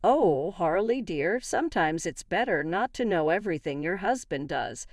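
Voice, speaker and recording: Woman voicing a smug, spiteful mother-in-law, animated delivery. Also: on the low side, moderate pace, no echo, studio quality. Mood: sweetly condescending. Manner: haughty, taunting. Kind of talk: acted dialogue in a narrated story.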